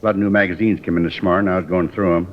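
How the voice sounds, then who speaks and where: An American actor playing a chatty, eager newspaper vendor as a shaken eyewitness in 1950s radio drama style. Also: male voice, low voice, steady tone, very fast, lightly acted. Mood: excited, eager. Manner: rambling, repetitive, folksy. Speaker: supporting actor. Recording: dull, noisy old radio recording.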